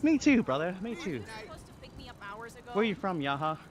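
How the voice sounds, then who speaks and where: A man's voice: sits high, sing-song, moderate pace, dull audio. A young Australian man streaming live while riding an exercise bike, casually chatting, reading out viewer comments and often laughing. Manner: upbeat, laughs often, thanks viewers warmly. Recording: game sounds and traffic noise behind.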